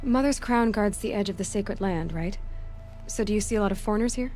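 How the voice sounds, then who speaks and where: A woman's voice: medium pitch, brisk, dark tone, close to an everyday voice. Determined young huntress, music behind played by a woman. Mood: confident, curious. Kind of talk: video game cutscene acting.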